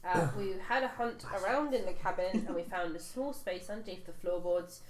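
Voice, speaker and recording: A woman's voice: fairly low pitch, normal speed. Woman recapping a tabletop game, echoey. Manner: recaps with many fillers and restarts. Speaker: player recapping the campaign. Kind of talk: casual chat around a tabletop game. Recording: echoey room, faint noise.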